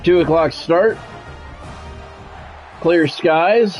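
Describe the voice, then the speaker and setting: A man's voice: a little high, extremely slow, a little mellow, faint music behind. A man giving casual live video game commentary with sportscast touches, talking conversationally and thinking aloud. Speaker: video game streamer and play-by-play announcer.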